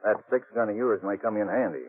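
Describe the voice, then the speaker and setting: A man's voice: fairly low pitch, quick pace, lightly acted. A man with an American accent playing a friendly, confident frontier sheriff in a radio western, folksy and plainspoken. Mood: determined. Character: friendly, confident Old West sheriff leading a posse. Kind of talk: 1950s radio western acting. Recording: tinny, muffled vintage radio recording.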